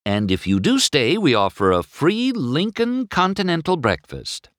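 A man's voice: on the high side, wildly swooping pitch, measured pace, a bit bright, no echo, clean studio sound, pitched above the performer's own voice, heavily acted. A man voicing an eager, chirpy female cartoon car in a children's storybook, helpful and welcoming.

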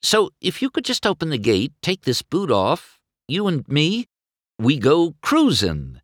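A man's voice: on the high side, wildly swooping pitch, a bit bright, no room sound, clean studio sound, voice pushed up, heavily acted. A man voicing a brash, impatient race car in an audiobook, with a slick, easily flustered manner. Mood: wheedling. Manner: fast-talking, stammering when flustered, wheedling. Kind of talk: audiobook character voice.

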